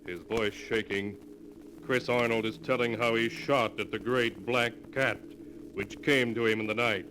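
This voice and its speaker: An actor playing an ominous, solemn narrator of a terror tale, in 1940s radio horror style. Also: male voice, medium pitch, steady tone, a little slow, natural voice. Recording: noisy vintage 1940s radio recording.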